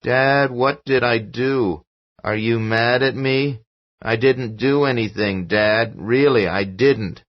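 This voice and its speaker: A man's voice: medium pitch, slow, voice pushed up, natural voice. A man voicing a parasite spirit that pretends to be a small boy, pleading in a whiny, childlike way. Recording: dull lo-fi audio.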